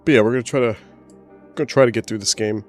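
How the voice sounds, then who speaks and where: A man with a boomy voice chats casually while streaming a game from home. He rambles in a laid-back way and stops now and then to talk to his pet. Faint music plays behind him. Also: fast talker. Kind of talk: casual livestream chat. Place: home, indoors. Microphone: desk microphone.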